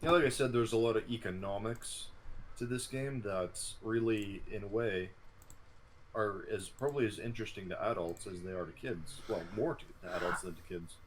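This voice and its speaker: A man co-hosting a gaming show, discussing gameplay casually in a halting, analytical, self-correcting way. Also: fairly low pitch. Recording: clearly noisy room ambience.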